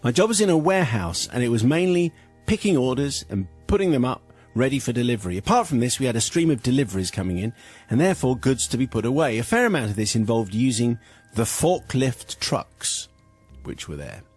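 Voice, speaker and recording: A man's voice: medium pitch, very animated, brisk, darkish tone, slightly rough, faint music behind. A man hosting a radio show, reading a listener's letter aloud and often breaking into laughter.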